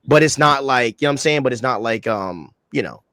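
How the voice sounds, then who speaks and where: A man's voice: mid-range voice, animated, a little fast, pushed and bright, no echo. A young man co-hosting a podcast, talking casually in slang-heavy, rambling bursts. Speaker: podcast co-host.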